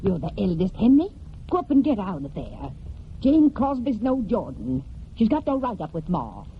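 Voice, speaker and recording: An actress playing a scolding, grasping wife with a rural American accent in a 1940s radio drama, nagging and sharp-tongued. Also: female voice, medium pitch, sing-song, normal speed. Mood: bossy, resentful. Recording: dull, noisy 1949 radio recording.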